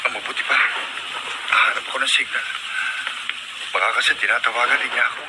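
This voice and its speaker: A man's voice: medium pitch, fairly even intonation, fast talker, heavy background noise, natural voice. A man playing an anxious, earnest young deliveryman in love in a radio drama. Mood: anxious, straining. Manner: worried, earnest.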